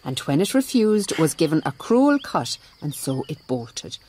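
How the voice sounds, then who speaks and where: A woman playing a shrewd, devout Mother Superior in a radio drama, speaking in a measured, dryly ironic way. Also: sits low, very animated, darkish tone, a little hiss or hum, poor audio. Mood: stern. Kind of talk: radio drama acting and narration.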